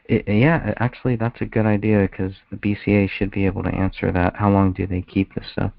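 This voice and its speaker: A man talking casually in a group phone discussion, halting and often restarting his phrases. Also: lowish voice, level tone, gravelly, no echo. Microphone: phone conference call. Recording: muffled, tinny phone conference line.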